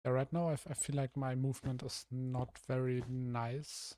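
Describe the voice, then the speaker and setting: A young man from Germany, a streamer with a rough, slightly hoarse voice, thinking aloud hesitantly with many restarts while he builds in a game. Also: medium pitch, level tone, moderate pace, dark tone, dry recording, clean studio sound. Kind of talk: casual live-stream commentary while building.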